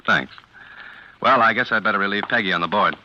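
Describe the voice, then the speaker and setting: An actor playing a wary, streetwise young ex-convict with an American accent and a terse, guarded manner, in 1940s radio style. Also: male voice, lowish voice, a little fast, pushed and bright, a little hiss or hum. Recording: muffled vintage radio recording.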